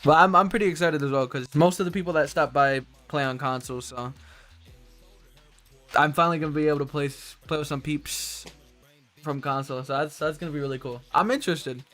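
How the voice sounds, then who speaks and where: A man's voice: fairly high pitch, fairly quick, a bit bright, faint music behind. A man chatting casually with viewers as a game streamer, friendly, appreciative and slangy. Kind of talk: casual livestream chat with viewers.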